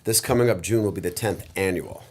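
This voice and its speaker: A man's voice: low-pitched, brisk. A man in his forties, a podcast guest, joking and telling stories in casual chat. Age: 40s. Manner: joking, animated storyteller.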